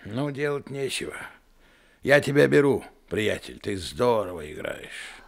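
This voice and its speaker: A gravelly, weary showman. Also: mid-range voice, big pitch swings, measured pace. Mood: gruff. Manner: gruff, tired, grudgingly kind. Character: weary middle-aged showman, gruff but kind.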